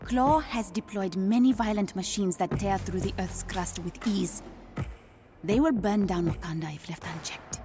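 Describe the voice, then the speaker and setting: An actress playing a clever, headstrong young princess with an African accent, brisk and confident, in a video game. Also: female voice, mid-range voice, music in the background, close to an everyday voice.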